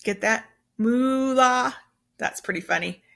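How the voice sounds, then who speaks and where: A woman's voice: fairly high pitch, slow pace, gravelly, pushed and bright. A woman teacher reading a children's story aloud, explaining simply and with emphasis as she goes.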